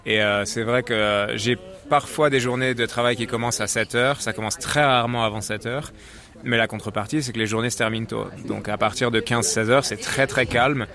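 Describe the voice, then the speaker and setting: A man's voice: mid-range voice, steady tone, fairly quick, bright, forceful tone. A man from France with a French accent, answering casually and in an explanatory way as an interview guest. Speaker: interview guest, office professional working from home.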